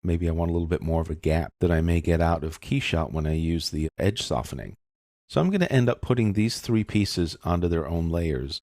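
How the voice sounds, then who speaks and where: Deep-voiced man teaching software. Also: no room sound, clean studio sound. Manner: casual, step-by-step explaining. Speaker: software instructor. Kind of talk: screen-recorded software tutorial narration.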